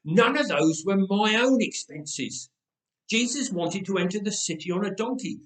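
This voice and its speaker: A man's voice: high-pitched, animated, moderate pace, fairly bright tone, far from the mic, pitched above the performer's own voice. A man playing a weary uncle in a story, defensive and given to sighing and muttering. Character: weary, evasive uncle, reluctant disciple in debt. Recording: roomy, echoing space.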